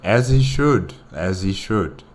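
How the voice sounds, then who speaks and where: A man's voice: low voice, expressive, slow. A man giving casual solo commentary as a reaction host, musing hesitantly and repeating his phrases.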